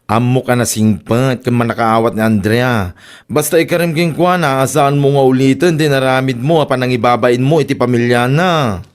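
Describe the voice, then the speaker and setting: A sensible neighbour played by a man in an Ilocano radio drama. He gives earnest advice in a medium-pitched voice with an Ilocano accent. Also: fairly even intonation, normal speed, clear, resonant tone, close to an everyday voice. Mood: advising.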